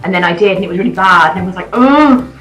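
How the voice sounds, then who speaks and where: A woman's voice: on the low side, flat delivery, racing, sharp tone, dull audio. A British woman in her early thirties chatting casually, with a dry, blunt, teasing manner. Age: early 30s. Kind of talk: casual chat between friends. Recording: echoey, poor-quality amateur audio, music behind.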